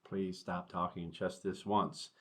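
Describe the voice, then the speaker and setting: A man's voice: deep voice, flat delivery, slow pace. An American man voicing a skittish male parrot in a children's storybook who compulsively repeats phrases.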